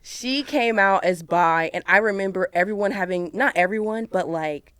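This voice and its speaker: A young woman talks candidly on a podcast, with "like" sprinkled through every thought. Her voice is smooth and clear. The sound is dry and close. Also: medium pitch, moderate pace, a bit bright, no room sound. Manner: candid storytelling, heavy filler "like". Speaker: podcast co-host. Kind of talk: casual chat.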